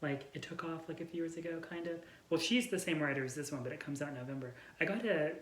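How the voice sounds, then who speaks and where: A man's voice: on the high side, fast, fairly bright tone. Man chatting casually in an echoey room. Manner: informal, filler-heavy with frequent "like". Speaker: book vlogger and co-host. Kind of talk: casual chat between friends about books. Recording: echoey room with faint noise.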